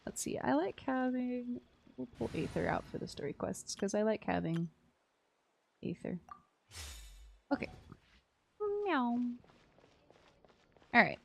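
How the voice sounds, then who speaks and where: A woman's voice: on the high side, expressive, slow pace, creaky, pauses often, slight background noise. A woman chatting casually and playfully on a game stream, often murmuring little sound words to herself. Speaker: live streamer. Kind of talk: casual gameplay stream chat. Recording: game music behind the voice. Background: soft video game background music.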